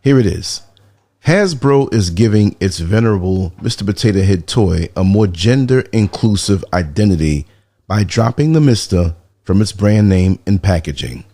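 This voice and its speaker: A man with a very low, mellow voice hosts his commentary show. He still sounds sleepy, as if he has just woken up. He reads the news in a low-key way and drops dry, sarcastic remarks. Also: animated, normal speed, no room sound. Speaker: podcast host. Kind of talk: casual commentary reading a news article.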